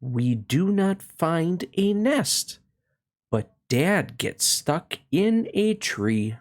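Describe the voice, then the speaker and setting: A man hosting a read-along, reading a children's picture book aloud in a slow, expressive way and then chatting about it. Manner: slow, expressive storytelling with swooping pitch.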